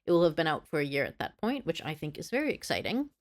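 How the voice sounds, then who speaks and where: A woman's voice: low voice, very animated, fast. A woman narrating a scripted history lesson, earnest, with apologetic asides. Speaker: history video creator.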